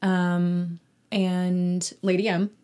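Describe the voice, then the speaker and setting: A woman's voice: lowish voice, level tone, extremely slow, warm tone. A young adult woman chatting casually as an interview guest about her acting work.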